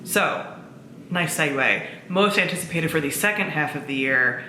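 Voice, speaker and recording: A woman's voice: deep voice, animated, moderate pace, bright, forceful tone. A woman talking casually and enthusiastically to camera as a book vlogger, in an informal, chatty way. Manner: enthusiastic, informal with swearing and frequent "like". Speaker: book vlogger, presenter of own channel. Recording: roomy echo, faint background noise.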